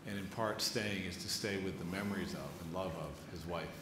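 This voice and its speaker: A man moderating a literary conversation on stage, deliberately building up his questions in short phrases. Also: sits low. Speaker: interviewer, moderator. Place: live stage event in an echoey venue. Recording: echoey room, clearly noisy.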